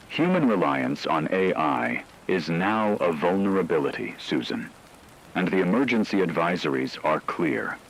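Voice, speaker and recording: A man playing a grave radio news anchor, reading a scripted crisis bulletin in a measured, formal, serious way. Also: low voice, gravelly, fairly bright tone, heavily acted, low-quality recording.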